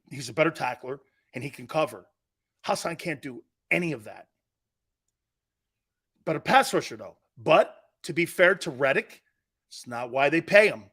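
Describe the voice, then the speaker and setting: A man's voice: on the high side, fairly bright tone, breathy edge. A middle-aged man hosting a live sports talk show, joking and rambling, laughing often as he talks. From Italian-American family.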